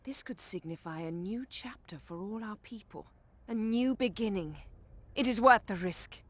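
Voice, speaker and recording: A woman playing a composed, authoritative official at a peace council in a fantasy video game, measured and formal. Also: medium pitch, no room sound, close to an everyday voice. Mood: resolute hope.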